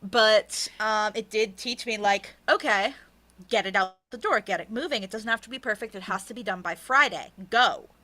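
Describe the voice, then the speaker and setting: A woman with a bright, forceful voice at a middling pitch speaks as a podcast guest. She is casual and blunt, hammering points home with short repeated phrases. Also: moderate pace. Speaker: podcast producer and writer being interviewed. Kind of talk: casual interview chat, personal storytelling.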